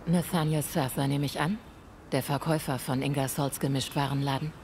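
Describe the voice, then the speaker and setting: An actress playing a composed, stern female ghost hunter in a video game, speaking formally, measured and direct. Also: a bass voice, fairly even intonation. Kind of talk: video game dialogue acting. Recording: faint ambient noise.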